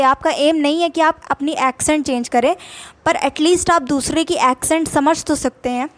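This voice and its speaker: Young Indian woman, high voice, Indian accent, patient English tutor who keeps rephrasing. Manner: explains patiently, repeats meanings in Hindi and English. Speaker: English vocabulary teacher. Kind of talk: casual spoken-English lesson.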